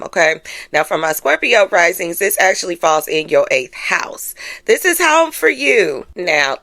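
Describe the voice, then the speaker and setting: A woman's voice: on the low side, fairly bright tone, dry recording. A woman giving a casual astrology forecast as host, in a sassy, playful, conversational way with slangy, emphatic phrasing.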